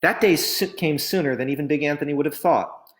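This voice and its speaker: A man reading a picture book aloud in an expressive, dramatic storytelling style. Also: fairly high pitch, a little fast.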